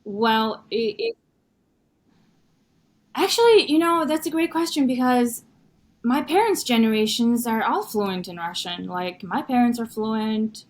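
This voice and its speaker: A young woman from Mongolia, whose first language is Mongolian, chatting as a podcast guest in an animated way full of "you know". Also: a little high, unhurried. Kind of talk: conversational interview.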